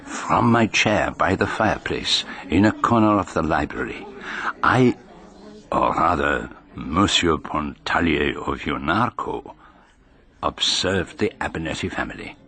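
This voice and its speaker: An actor playing a courtly, shrewd Belgian detective in a radio mystery, with a French accent and formal, deliberate phrasing. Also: male voice, rumbling low, lively intonation, bright, forceful tone, exaggerated voice. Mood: reflective narration. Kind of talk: radio mystery drama acting with narration.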